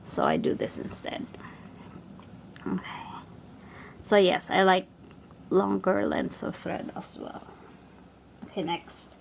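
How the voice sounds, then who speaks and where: A woman with a Filipino accent talks slowly while she works, pausing often and thinking aloud. She chats like a cross-stitch vlogger doing a stitch-along at home, with an easy, unhurried pace. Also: fairly low pitch, big pitch swings, gravelly, slight background noise. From Philippines. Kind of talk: casual stitch-along chat.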